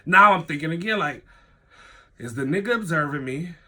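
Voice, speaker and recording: A young man with a stuffy nose, telling a personal story in a casual vlog, animated, full of slang and "like", swearing freely. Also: moderate pace. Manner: animated, acts out his own thoughts in a higher voice.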